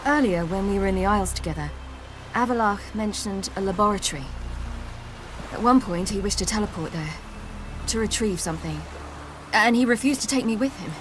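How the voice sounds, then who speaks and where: Woman playing an earnest young adventurer, mid-pitched. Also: brisk, close to an everyday voice. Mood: earnest, urgent. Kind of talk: video game dialogue acting. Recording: game audio with background noise.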